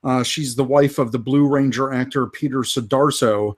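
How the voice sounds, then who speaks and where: A man co-hosting a live fan podcast, casually going through toy and movie news with many pauses and fillers. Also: medium pitch, steady tone, breathy edge. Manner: unhurried, lots of uh fillers. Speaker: podcast co-host.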